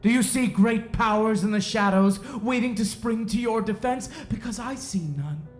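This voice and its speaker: An actor playing a stern, accusatory seventeenth-century sheriff in an audio drama, speaking stiffly and formally. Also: male voice, high voice, natural voice. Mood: accusing, menacing. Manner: stiff, formal, halting, deliberate. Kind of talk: period audio drama acting.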